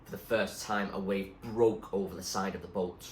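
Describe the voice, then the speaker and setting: A man reading a story aloud in an expressive, suspenseful narrating style. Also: deep voice, normal speed. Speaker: reader, teacher. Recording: echoey room with faint background noise.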